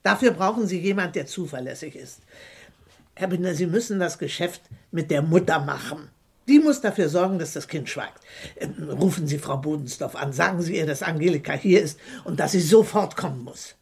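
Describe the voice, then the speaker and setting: An actress playing a practical, firm female employee in a German radio crime drama, husky and emphatic. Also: low-pitched, animated, normal speed, exaggerated voice. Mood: urgent, firm.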